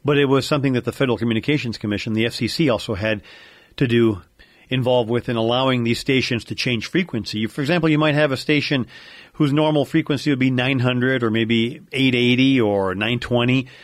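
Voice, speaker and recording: A man's voice: medium pitch, steady tone, fairly quick, no room sound, studio quality. A man with an American accent explaining technical matters informally, like a knowledgeable regular guest on a radio-themed podcast.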